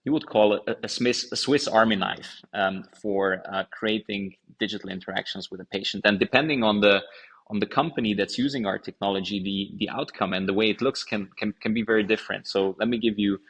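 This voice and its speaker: A man's voice: fairly low pitch, steady tone, fairly quick, a bit bright, slightly rough, poor audio. A man in his thirties from Hungary, a company founder answering interview questions in an explanatory way.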